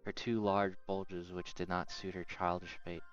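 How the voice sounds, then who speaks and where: A man's voice: low-pitched, little pitch movement, normal speed. A man reading visual novel narration aloud as a first-person story. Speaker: narrator reading subtitles of a game. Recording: dull lo-fi mic. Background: soft game music.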